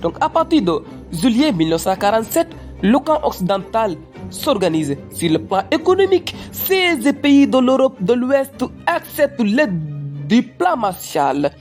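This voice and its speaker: Eager cartoon student someone acting it, explaining history kinda haltingly, polite to the prof, bit of music behind. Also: mid-range voice, very animated, a little mellow. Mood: earnest, emphatic. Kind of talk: educational cartoon dialogue, lecture-like recitation.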